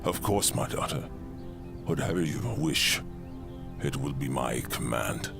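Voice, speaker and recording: Man playing a very deep, gravelly old king. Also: expressive, heavily acted. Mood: gentle, indulgent. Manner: slow, courtly, formal. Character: doting aged king and father, gentle, indulgent. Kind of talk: fantasy audio drama acting. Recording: music under the voice.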